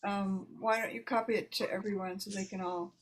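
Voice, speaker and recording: A woman's voice: mid-range voice, steady tone, brisk. A woman hosting an online poetry reading in a casual, conversational way. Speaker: host introducing poets. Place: video call. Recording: noisy video call audio with clicks.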